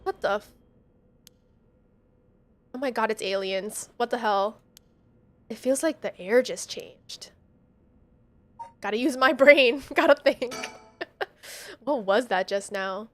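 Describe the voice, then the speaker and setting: A woman's voice: on the high side, takes it slowly, pauses often. A woman game streamer playing a horror game live, reading text aloud and reacting in a dramatic, slangy way. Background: game music.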